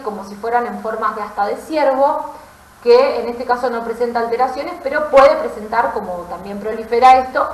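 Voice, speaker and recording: An Argentine woman teaches as a medical lecturer in a fairly high voice. She explains step by step in a didactic way, adding short checking questions. The recording is echoey, with clear room sound around her voice. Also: fairly bright tone. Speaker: pathology lecturer, physician.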